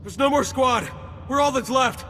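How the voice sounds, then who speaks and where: An actor playing a nervous, obedient young army private in a video game, with a strained delivery. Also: male voice, very high-pitched, normal speed, bright, forceful tone. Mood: panicked. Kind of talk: video game cutscene acting. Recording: echoey hall, music behind.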